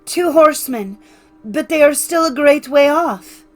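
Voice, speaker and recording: Storybook sister, performed by a female narrator: young, anxious lookout, calling out in a raised, high voice. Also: animated, unhurried, soft background music. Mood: anxious watching. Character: anxious young sister keeping watch from a tower.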